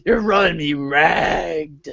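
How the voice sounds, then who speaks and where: Man as an awkward teenage boy, slow and creaky. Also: a little high, pushed and bright, no echo, lo-fi sound, full character voice. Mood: awkward, friendly. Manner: hesitant, self-conscious. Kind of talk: amateur reader's theater character reading.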